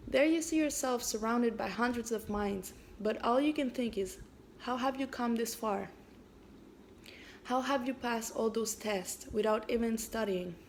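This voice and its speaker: A woman's voice: on the high side, a little hiss or hum. Hesitant woman reading her own story. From Canada. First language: French. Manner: hesitant, with frequent pauses and fillers. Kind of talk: casual video intro, then reading prose aloud.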